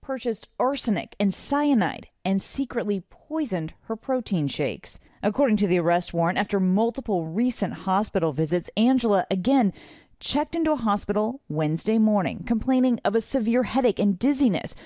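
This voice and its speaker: Mellow woman news anchor. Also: medium pitch, lively intonation, dry recording, studio quality. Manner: measured, formal delivery. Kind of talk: news read.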